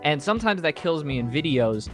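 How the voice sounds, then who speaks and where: A man's voice: fairly high pitch, big pitch swings, brisk. Brief: man from Puerto Rico, Spanish first language, casual rambling chat, music underneath. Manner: rambling, self-interrupting storyteller. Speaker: podcast guest, video creator.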